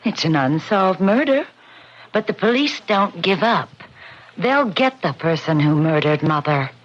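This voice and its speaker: An American actress playing a young, bitter, defiant daughter with a sardonic manner in a radio mystery drama. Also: female voice, on the low side, sing-song, unhurried. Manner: sharp, sardonic. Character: bitter, defiant grown daughter of a murdered mother. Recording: muffled old radio drama recording.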